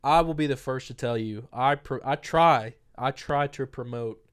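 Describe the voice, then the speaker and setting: A man's voice: mid-range voice, normal speed, clean tone. A young man co-hosting a podcast, chatting casually and rambling with lots of fillers, a bit stuffy from a cold. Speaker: podcast co-host. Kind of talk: casual chat.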